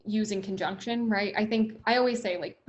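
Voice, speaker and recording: A woman with a loud voice, speaking as a company guest in an interview, upbeat, laughing often and leaning on "right?" and "you know.". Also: medium pitch, fairly even intonation, moderate pace, highs cut off. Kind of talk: conversational interview.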